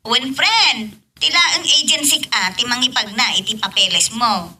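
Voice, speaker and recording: A woman's voice: fairly high pitch, animated, normal speed, pushed and bright, slightly rough, exaggerated voice. A woman playing a breezy, helpful friend in an audio drama. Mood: chatty. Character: helpful, breezy female friend offering overseas work. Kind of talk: amateur radio-style drama acting. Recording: distant mic with faint noise.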